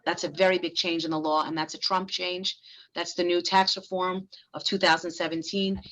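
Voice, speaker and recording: A woman family lawyer, giving a frank, brisk presentation to business owners. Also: fairly low pitch, pushed and bright, rough recording.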